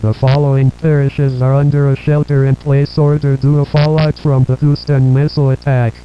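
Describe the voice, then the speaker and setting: A man's voice: medium pitch, moderate pace. A synthetic male voice reading a scripted emergency bulletin in an impersonal, list-like way as an alert announcer. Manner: flat, impersonal. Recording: dull lo-fi broadcast with hum and noise.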